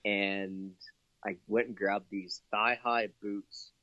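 A cheerful, chatty man from Canada speaks as an interview guest. His voice sits a little low and sounds very dry, with no room around it. The line is slightly dull and lo-fi. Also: level tone, slow, pushed and bright, no room sound. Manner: upbeat, chatty, laughs often, many fillers. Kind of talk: casual interview talk.

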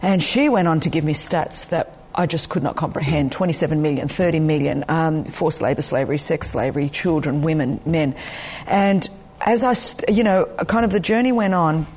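A woman's voice: deep voice, normal speed, a little mellow, husky. Earnest Australian woman telling her story. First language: Greek. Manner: earnest, personal, frequent ums. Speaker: ministry leader, guest. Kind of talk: interview guest telling her life story.

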